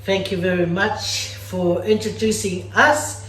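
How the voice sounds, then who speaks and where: A woman from Samoa hosts a home cooking vlog and chats playfully with her viewers as she works. She speaks at an unhurried pace. Her voice bounces around a roomy, echoey home kitchen. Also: mid-range voice, steady tone, a bit bright. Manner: chatty and playful, talks straight to viewers as "guys". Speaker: cooking vlogger. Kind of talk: casual cooking demonstration. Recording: echoey room.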